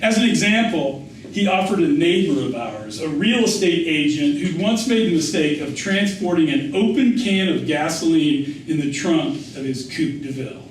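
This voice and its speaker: A man reading a literary memoir aloud as its author, with a measured storytelling delivery. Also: on the high side, animated. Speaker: author reading his essay. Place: indoor public reading. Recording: echoey room, distant mic, faint noise.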